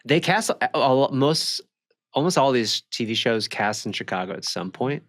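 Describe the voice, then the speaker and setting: A man's voice: medium pitch, lively intonation, normal speed, a bit bright. A young man co-hosting a podcast in casual, slangy chat full of bro and dude. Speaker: podcast co-host.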